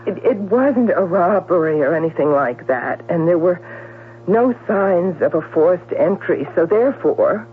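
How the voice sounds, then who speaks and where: An actress playing an evasive, self-dramatizing middle-aged newspaper gossip writer in a 1970s radio drama. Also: female voice, on the low side, lively intonation, a little slow. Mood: anxious pleading. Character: Clara, smooth evasive career newspaper gossip writer, an older sister. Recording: tinny, noisy old radio broadcast.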